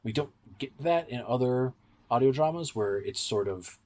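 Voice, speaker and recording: A man co-hosting a podcast, giving analytical, explanatory commentary in a conversational, halting way with self-corrections. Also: mid-range voice, expressive, darkish tone. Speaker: podcast co-host and reviewer. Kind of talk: casual analytical podcast discussion.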